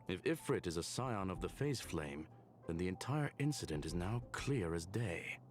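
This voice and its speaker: A man's voice: sits low, animated, moderate pace. An actor playing a learned, serious man in a video game cutscene, explaining an investigation in articulate, expository lines. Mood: serious, explanatory. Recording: faint music behind the voice. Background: faint background music.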